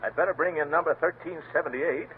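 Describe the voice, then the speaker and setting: A man's voice: fairly high pitch, fast talker, close to an everyday voice. A man voicing a fussy, pompous honey businessman in an old radio comedy. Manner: pompous with chuckling asides. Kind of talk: 1930s radio comedy acting. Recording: tinny old radio recording with faint hiss.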